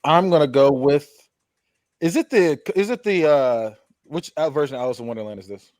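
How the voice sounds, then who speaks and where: A man's voice: on the high side, moderate pace, darkish tone, no echo, studio quality. A man in casual podcast chat, playful and giggly.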